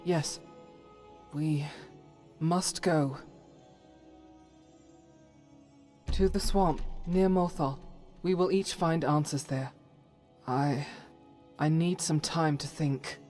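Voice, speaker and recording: An actress playing a devout, troubled warrior woman in a fantasy game, solemn and earnest with halting delivery. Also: low voice, steady tone, darkish tone, faint music behind. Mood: grave, resolved. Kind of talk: dramatic fantasy game voice acting.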